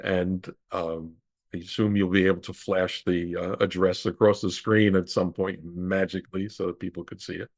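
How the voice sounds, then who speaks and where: A man speaking as an interview guest in a measured, halting, scholarly way, explaining things conversationally. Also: low voice, little pitch movement. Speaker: interview guest, radio historian.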